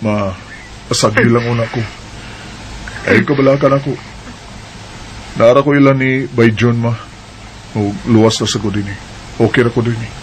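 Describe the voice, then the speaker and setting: A man's voice: medium pitch, steady tone, moderate pace, long pauses, slight background noise, close to an everyday voice, rough recording. A man voicing a resentful young man who defies his parents in a radio drama. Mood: weary quiet. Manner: bitter, sarcastic.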